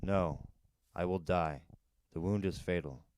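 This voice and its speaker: Man voicing a low, solemn dying alien. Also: a little mellow, slight background noise. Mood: grave, resigned. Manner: grave, short measured statements. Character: gentle dying male alien speaking telepathically, solemn.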